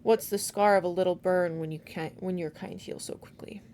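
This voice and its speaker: A woman voicing a cold, confident teenage boy demon hunter in a storybook, deliberate and accusatory. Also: on the low side, fairly even intonation, a little mellow, a little hiss or hum, lightly acted. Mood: accusing, confident.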